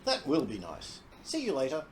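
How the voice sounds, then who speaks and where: Radio play: friendly postman, played by a man, amiable, slightly hesitant, lively pitch, some background noise. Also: normal speed. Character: friendly, chatty postman on his round.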